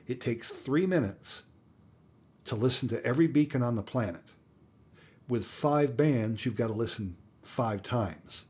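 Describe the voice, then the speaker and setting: A man's voice: mid-range voice, a little mellow, husky, dull audio. A man narrating an explanatory tutorial as an instructor, plain-spoken and going through things step by step. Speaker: amateur radio instructor, video host.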